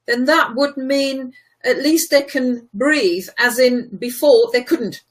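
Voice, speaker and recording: A woman's voice: high voice, slow. A woman whose first language is German, from Germany, tutoring in a casual chat, patient and explanatory, laughing easily.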